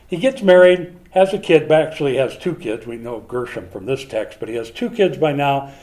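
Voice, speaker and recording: Man, preacher, conversational Bible teaching, animated then hushed, warm mellow tone. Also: medium pitch, moderate pace. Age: older. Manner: animated, swings from loud to hushed.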